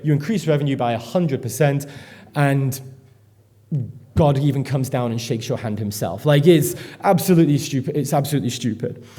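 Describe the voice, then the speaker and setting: A man's voice: medium pitch, mellow. A man, giving a rambling, self-deprecating conference talk and swearing freely. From England. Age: 30s. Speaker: conference speaker. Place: conference stage before an audience.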